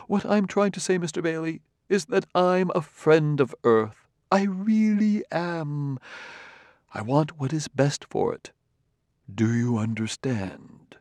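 A man acts out a courtly roboticist from an audiobook, all smooth talk and wolfish friendliness. His lines run on softly and swing up high in very lively melody, close and dry. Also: moderate pace, dry recording. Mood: confidential, flattering. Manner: courtly, talkative, softly running sentences. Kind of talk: audiobook character dialogue.

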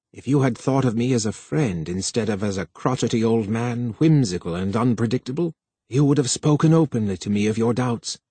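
A man reading an audiobook voices a cranky, whimsical old council elder with a husky voice and an insinuating manner. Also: medium pitch, no room sound, natural voice. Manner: sharp, insinuating, commanding.

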